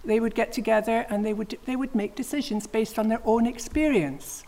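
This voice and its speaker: A woman in her sixties, a retired health official, explaining her work on a panel in a measured, plain way. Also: on the high side, fairly even intonation, dark tone, slight background noise.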